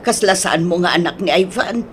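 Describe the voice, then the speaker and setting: A frank older wife and mother acted by a woman talks in a lowish female voice for a radio drama. She chides in a matter-of-fact, caring way. Faint background noise runs under her. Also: animated, normal speed, lightly acted. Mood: chiding. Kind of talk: comic Ilocano radio drama acting.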